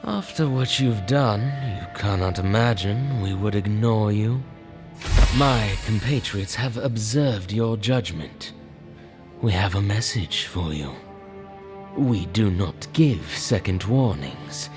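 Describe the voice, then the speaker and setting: A man's voice: lowish voice, slow. A man playing a stern rebel messenger in a video game, solemn and threatening. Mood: cold, threatening. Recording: clear background game music.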